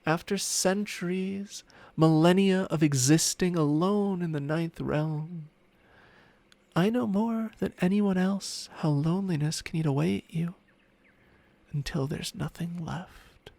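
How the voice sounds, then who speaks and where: Casting: male actor for an ancient dragon familiar in ASMR roleplay. Unhurried, lighter-pitched male voice, breathy and teasing, smugly possessive yet doting. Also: darkish tone, no echo. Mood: tender, wistful. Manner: slow, teasing, breathy murmurs slipping into whispers. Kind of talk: ASMR roleplay, intimate personal attention. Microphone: close-up microphone with spatial panning.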